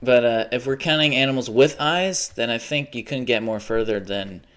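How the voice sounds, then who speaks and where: A man's voice: mid-range voice, moderate pace, fairly bright tone. A young man livestreaming a video game, rambling casually, swearing often and laughing at his own jokes. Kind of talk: casual live gaming commentary.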